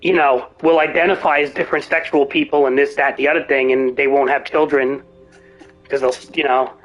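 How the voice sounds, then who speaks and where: Rambling young man streamer, going hoarse. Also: mid-range voice, fairly even intonation, a little fast, a bit bright, muffled recording, soft background music. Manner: rambling, ranting, swears freely. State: voice getting hoarse. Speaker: gaming streamer. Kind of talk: rambling live-stream chat.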